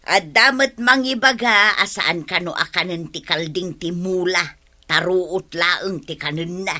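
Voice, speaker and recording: A woman's voice: fairly low pitch, unhurried, sharp tone. A woman with an Ilocano accent playing an aggrieved, complaining villager in a comedy radio drama. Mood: protesting.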